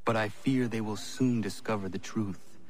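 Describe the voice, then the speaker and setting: An actor playing a proud, earnest young assassin in a video game, speaking in formal, stiff, measured phrases. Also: male voice, on the low side, fairly even intonation, slight background noise, close to an everyday voice. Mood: grave, resolute. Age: young adult. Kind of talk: video game cutscene acting. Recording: music behind the voice.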